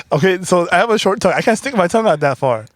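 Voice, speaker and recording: A man's voice: sits high, animated, quick pace, slight background noise. Young man with a Koreatown accent. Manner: playful, self-mocking, laughs a lot. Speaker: guest. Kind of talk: casual podcast chat.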